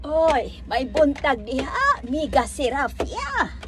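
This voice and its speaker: A woman's voice: high voice, sing-song, takes it slowly, over music, exaggerated voice. A woman playing a chatty, nosy village friend in a radio drama, exclamatory and gossipy. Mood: teasing, curious.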